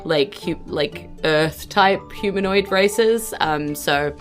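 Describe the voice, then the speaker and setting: A woman's voice: lowish voice, slow pace, soft background music. A woman narrating a tabletop game as its host, in an informal, conversational, descriptive way. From New Zealand. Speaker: game master and podcast host.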